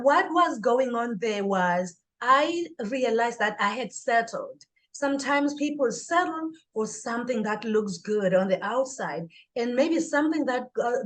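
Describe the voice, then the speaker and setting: Her voice sits fairly high for a woman and carries a southern African accent. She is middle-aged and speaks as an interview guest, recounting personal experiences in an emphatic, conversational way. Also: rough recording. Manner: emphatic, acts out her inner dialogue.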